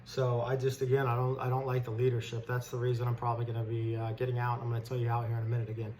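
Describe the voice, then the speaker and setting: A man's voice: medium pitch, monotone, rapid-fire, a little mellow, rough recording. Fired-up man ranting over background noise. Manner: blunt, fired up, indignant, informal. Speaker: stock trader host giving commentary. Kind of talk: unscripted opinionated rant.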